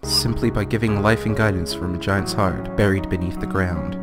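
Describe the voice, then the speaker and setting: A man giving a theatrical, deliberate dramatic reading of a fantasy guidebook. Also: sits low, steady tone. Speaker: let's play gamer. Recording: guitar music behind voice. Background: guitar music.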